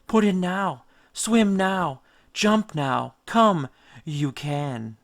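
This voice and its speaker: A man voicing alluring female sirens in a storybook, enticing in a slow, sing-song manner. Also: high-pitched, lively intonation, pitched above the performer's own voice, full character voice. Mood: coaxing, yearning. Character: alluring female sirens, coaxing sea temptresses.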